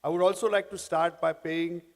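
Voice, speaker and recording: A man, giving a conference talk in a halting way with many restarts. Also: on the high side, fairly even intonation. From India. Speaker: panel speaker. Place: large conference hall. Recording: long hall reverb.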